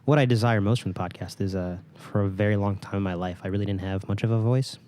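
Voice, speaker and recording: A man's voice: fairly low pitch, fairly even intonation, fast, dark tone. A man hosting a podcast in casual interview chat, phrasing his thoughts hesitantly and encouragingly. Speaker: podcast host.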